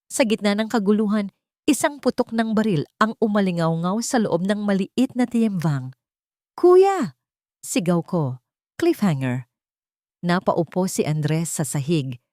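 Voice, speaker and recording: Low-pitched woman narrating dramatic fiction. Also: a little mellow, no echo, clean studio sound. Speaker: story narrator. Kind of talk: dramatic first-person scripted narration.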